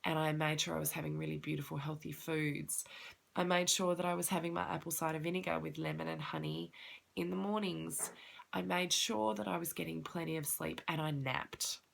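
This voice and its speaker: A woman's voice: deep voice, fairly even intonation, brisk, vocal fry. A woman with a congested, sniffly voice, talking earnestly and heartfelt in a personal reflective talk to her viewers. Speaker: wellness vlogger.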